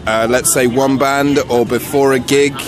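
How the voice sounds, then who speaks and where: A man's voice: mid-range voice, little pitch movement, moderate pace. A man interviewing people on the street in a casual, agreeable way, often answering with short affirmations. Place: busy street outdoors. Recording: outdoor street with music.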